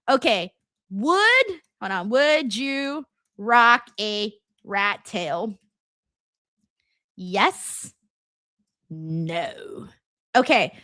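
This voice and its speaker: A woman hosting a live stream, chatting casually with her viewers and reading out their comments, with a very high-pitched voice. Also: bright, forceful tone, no echo, studio quality. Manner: chatty, reads viewer comments aloud with filler words. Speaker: streamer and host.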